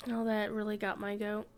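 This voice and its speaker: A woman's voice: medium pitch, a little mellow. A woman streaming a game and chatting casually, thinking aloud and sometimes reading the quest text. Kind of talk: casual gameplay commentary. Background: faint game music.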